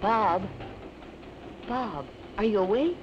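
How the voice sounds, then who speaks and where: An actress playing a bright, teasing young woman travelling on a sleeper train. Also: medium pitch, lively intonation, slow, muffled recording. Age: young adult. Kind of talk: 1950s television drama acting. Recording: loud hiss of an old film soundtrack.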